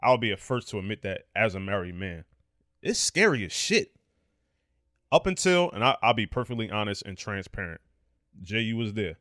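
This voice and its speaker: A man's voice: fairly low pitch, animated, moderate pace, a bit bright, no echo, clean studio sound. A man in his thirties co-hosting a podcast, talking candidly and emphatically, swearing freely in casual chat. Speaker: podcast co-host.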